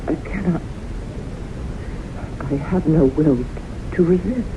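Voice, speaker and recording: An actress playing a haunted, earnest woman in a 1970s radio drama, speaking with halting, deliberate intensity. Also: deep voice. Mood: haunted, slow. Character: haunted, earnest woman convinced death pursues her. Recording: noisy, muffled 1970s radio broadcast recording.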